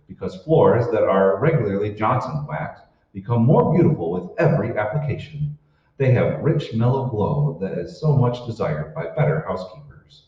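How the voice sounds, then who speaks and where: A man announcing a scripted old-time 1940s radio show and its sponsor message. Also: lowish voice. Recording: muffled, echoey hall, far from mic.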